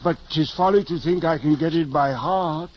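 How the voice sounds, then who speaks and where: An actor playing a simple, practical, fretful peasant squire in 1950s radio drama, plain-spoken and earnest. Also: male voice, a little high, moderate pace, lightly acted. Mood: eager, earnest. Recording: dull vintage radio recording.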